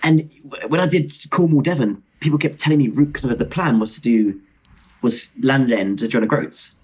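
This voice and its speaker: A man in his twenties with a husky voice, telling stories casually and excitedly on a podcast. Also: medium pitch, normal speed. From London, England. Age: 20s. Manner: excitable, re-enacts dialogue. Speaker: podcast guest, motorcycle traveller. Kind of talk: casual animated storytelling.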